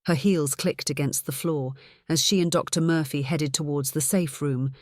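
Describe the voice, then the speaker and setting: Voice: mid-range voice, fairly even intonation, moderate pace, darkish tone, no echo, studio quality. An audiobook narrator reading a scripted story.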